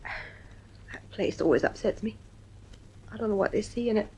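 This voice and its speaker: A woman's voice: mid-range voice, moderate pace, warm tone, a little hiss or hum, natural voice, low-quality recording. A woman playing an anxious, fretful wife and mother in a science fiction audio drama, with a nervy, insistent delivery. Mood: weary, worried.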